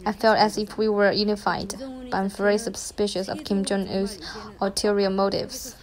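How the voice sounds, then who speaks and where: A middle-aged woman speaks in earnest, careful English, with a few small grammar slips. Her delivery stays level and even, with little rise or fall. She sounds like she is answering questions in a small indoor room. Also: medium pitch, poor audio. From North Korea. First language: Korean. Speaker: interviewee, defector. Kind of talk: interview answers.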